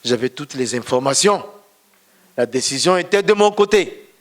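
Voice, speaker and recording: A man's voice: mid-range voice, animated, normal speed. A male pastor whose first language is not French, giving a storytelling testimony sermon in church and speaking straight to his listeners. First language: not French, another mother tongue. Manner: storytelling, addresses listeners directly.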